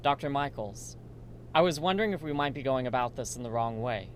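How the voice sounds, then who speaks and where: An earnest male research assistant acted by a man in a radio play. He speaks with worry in a mid-range voice, with faint rumbling noise on the recording. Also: animated, brisk, natural voice. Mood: concerned. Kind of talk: amateur radio drama dialogue.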